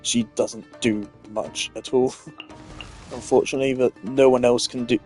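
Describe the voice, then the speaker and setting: A man's voice: medium pitch, steady tone, unhurried, darkish tone, faint music behind. A man streaming a video game, giving casual, opinionated commentary full of filler words.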